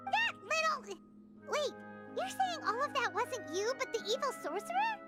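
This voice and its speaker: Super high dramatic young diva in a game, bouncy and excited, music going under her. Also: moderate pace. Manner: excitable, dramatic, sweeping inflection. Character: flamboyant young woman, bubbly and theatrical. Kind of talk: video game voice acting.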